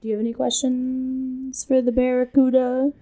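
A woman's voice: sits high, level tone, moderate pace, mellow, poor audio. A woman co-hosting a casual podcast, asking the guest questions and nudging her along. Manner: prompting, encouraging questions. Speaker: podcast co-host. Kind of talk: casual chat.

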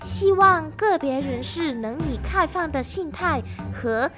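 Actress as a high-voiced, melodramatic Hong Kong woman. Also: animated, unhurried. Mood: teasing. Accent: Hong Kong Cantonese. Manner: theatrical, mock-earnest. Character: melodramatic, easily spooked, sarcastic young woman. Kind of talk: comedic radio drama. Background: music.

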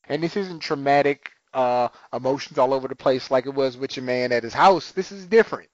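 A man in a casual chat, recapping a TV episode with animated storytelling and acted-out lines. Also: mid-range voice, fairly quick, no room sound, lo-fi sound. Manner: animated retelling, acting out characters' lines. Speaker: TV recap podcaster.

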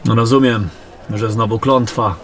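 A man's voice: mid-range voice, steady tone, measured pace, slight background noise, natural voice. Man acting eager young fantasy soldier. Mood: curious. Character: eager, hungry young soldier, the general's junior companion. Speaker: voice actor in an audio drama. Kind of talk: fantasy audio drama acting.

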